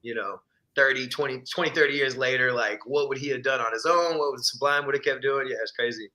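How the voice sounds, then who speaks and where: A young man talks casually about music, his tone bright and slightly forceful. He keeps it laid-back, drops plenty of slang and laughs often. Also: mid-range voice, fast talker, low-quality recording. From Texas, born in Ecuador. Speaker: musician guest. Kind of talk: casual chat.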